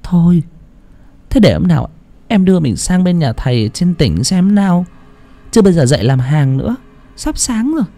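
Male narrator doing a shrill, panicky village wife, high voice that swoops wildly. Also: sing-song, moderate pace, long pauses, warm tone. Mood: bossy. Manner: shrill, pleading, high falsetto. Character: frantic village wife, middle-aged woman.

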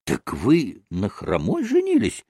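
A man voicing an excitable, childlike young gentleman in a storybook, exclaiming eagerly and argumentatively in a ringing voice. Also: fairly high pitch, measured pace, no room sound, studio quality, voice pushed up, full character voice. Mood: insistent, excited.

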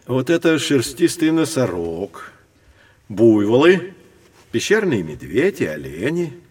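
A patient know-it-all guide explaining stuff to kids, storyteller style, mid pitch, very dry recording. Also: male voice, big pitch swings, slow pace, a little mellow, husky, no echo, exaggerated voice. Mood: patient, informative. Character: patient, knowledgeable male guide teaching two children. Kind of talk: scripted educational narration for children.

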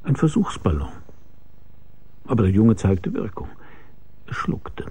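Gravelly, mellow private detective. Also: male voice, fairly low pitch, very animated, a little slow, slight background noise, exaggerated voice. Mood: coolly needling. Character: wry hard-boiled male private detective. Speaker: lead actor and narrator. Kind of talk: radio crime drama, first-person narration.

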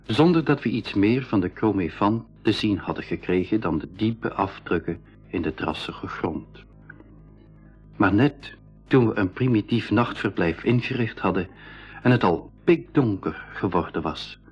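Husky low explorer telling his adventure story, radio drama narration, dull sound, faint music. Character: male expedition member retelling a jungle adventure.

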